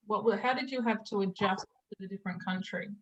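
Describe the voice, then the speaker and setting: A woman hosting an interview, friendly and quick to laugh as she asks questions. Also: medium pitch, fairly bright tone, lo-fi sound, a little hiss or hum. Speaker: host. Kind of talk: conversational interviewing.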